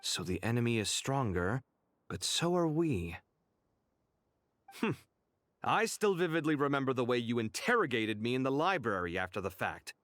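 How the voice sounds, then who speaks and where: An actor playing a young male scholar in a game cutscene, expressive and quick to protest. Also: mid-range voice, dry recording. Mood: uneasy, puzzled. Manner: animated, exasperated, quick to protest.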